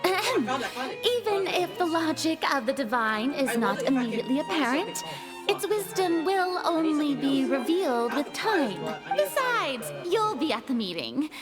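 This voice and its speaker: A very high, swooping voice brings out a young goddess of justice in a video game. She is proud yet anxious, theatrical and emphatic, with loud music behind her. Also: very animated, a little slow. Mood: insistent, defensive.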